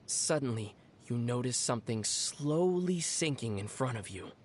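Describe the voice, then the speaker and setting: An actor plays a calm young game adventurer who explains things in a measured way and teases with a straight face. The voice sits mid-range and moves with lively intonation. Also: medium pitch, a little hiss or hum, lightly acted. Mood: teasing suspense. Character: calm young traveler with a dry, teasing wit. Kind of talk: video game dialogue.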